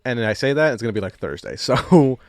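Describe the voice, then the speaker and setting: Guy podcaster ranting casually, swears a lot, stumbles over words, mid pitch, dry close sound. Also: expressive, quick pace, no echo. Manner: profane, hesitant stop-start phrasing, chuckles often. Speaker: podcast co-host. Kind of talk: casual banter and comic ranting.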